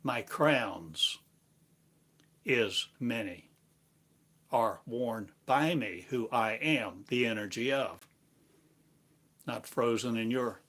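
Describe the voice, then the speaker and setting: Slow, chanting male preacher. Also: fairly low pitch, fairly bright tone. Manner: chanting, incantatory, drawn-out phrases. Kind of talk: slow free-form spiritual sermon. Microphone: live stream device.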